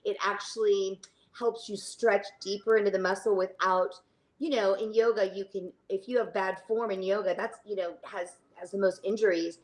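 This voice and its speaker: A middle-aged woman speaking casually as an interview guest about her work, chatty and halting, often trailing into tangents. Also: mid-range voice, fairly even intonation, poor audio. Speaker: fitness studio owner, interview guest.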